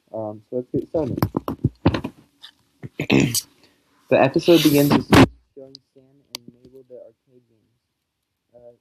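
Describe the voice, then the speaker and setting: A man's voice: medium pitch, slight background noise, low-quality recording. A man reviewing a cartoon episode, reading a plot recap with many fillers and stumbling restarts. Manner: halting, many fillers and self-corrections. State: voice recently recovered.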